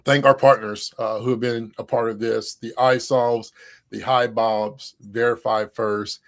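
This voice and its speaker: A man co-hosts a livestreamed event over a video call. He talks in a relaxed, joking way, full of ums and uhs. His voice sits at an ordinary middle pitch. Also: a little slow. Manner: upbeat and joking, with frequent fillers. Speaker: co-host of an online HR event. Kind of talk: casual livestream chat.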